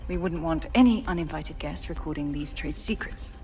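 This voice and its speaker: A woman's voice: low voice, normal speed, dark tone, distant mic, faint music behind, lightly acted. An actress playing an assured, visionary female tech CEO giving a scripted keynote in a video game.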